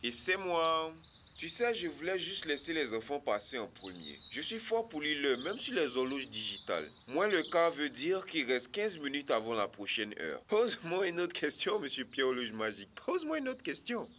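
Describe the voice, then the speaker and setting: A man's voice: fairly high pitch, very animated, normal speed, background noise. A man voicing a boastful, self-assured animal character in an educational cartoon. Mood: boastful, cocky. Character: boastful male cartoon animal, cocky last racer.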